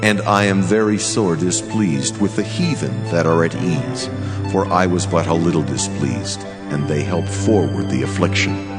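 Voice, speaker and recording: Man playing a deep, solemn, commanding angel over music. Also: moderate pace, close to an everyday voice. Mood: stern, solemn. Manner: grave, declamatory. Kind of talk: dramatized scripture reading. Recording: loud music bed behind voice.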